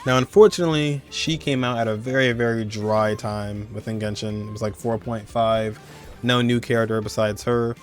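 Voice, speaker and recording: A man's voice: on the low side, fairly even intonation, normal speed, over music. A man giving casual, slangy, conversational commentary as host of a video game countdown. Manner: slangy, conversational, chuckling asides.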